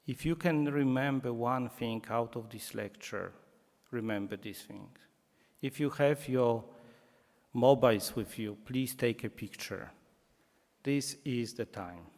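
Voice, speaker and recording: A man with a Polish accent giving a public lecture, speaking deliberately and slipping in dry jokes. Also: mid-range voice, darkish tone. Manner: slow, deliberate, joking asides. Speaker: guest lecturer. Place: lecture hall. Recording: reverberant lecture hall.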